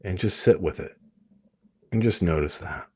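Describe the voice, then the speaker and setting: A man with a low voice leads a guided meditation in a gentle, permissive manner. His tone is husky and breathy, and he leaves pauses between short, soothing phrases. The sound is dry and close, with almost no room to it. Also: no room sound. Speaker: hypnotist leading a guided meditation.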